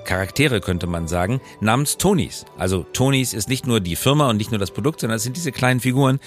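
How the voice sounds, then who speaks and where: A man's voice: medium pitch, animated, fast, soft background music. A middle-aged man chatting casually as the co-host of a podcast. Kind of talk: casual conversational podcast talk.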